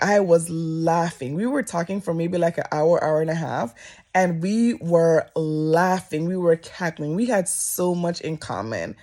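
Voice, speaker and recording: A Jamaican woman chats straight to camera and tells a story with animated energy. Her voice has a gravelly fry at the bottom and leaps higher whenever she quotes people. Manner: animated storyteller, voice jumping up when quoting people. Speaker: vlogger. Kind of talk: casual storytime chat to camera. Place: office.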